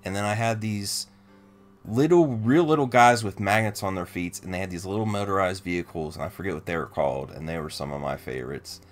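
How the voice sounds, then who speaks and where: A man's voice: low voice, fairly quick, soft background music. A man co-hosting a toy show in casual, informal conversation. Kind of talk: casual toy review chat.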